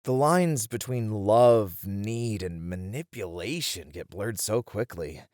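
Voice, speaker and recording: A man's voice: on the low side, slow pace, no echo, studio quality. A man playing a thoughtful game developer, candid and casual, uneasy yet eager, in an ASMR roleplay. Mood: uneasy, reflective. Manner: casual, hesitant, expressive.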